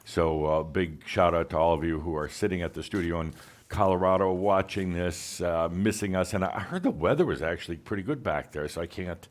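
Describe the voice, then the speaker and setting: A man's voice: sits low, fairly quick. A man hosting a live gathering in an island pavilion, chatting casually with fillers and small laughs. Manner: relaxed, chatty, with fillers and chuckles. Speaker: host. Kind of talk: casual welcome talk to a live group. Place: open pavilion on a Hawaiian island.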